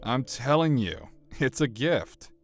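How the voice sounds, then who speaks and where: A man's voice: medium pitch, very animated, slow pace, soft background music. An actor playing a cocky, battle-hardened guardsman who feels no pain, in a flippant, nonchalant way for an audio drama.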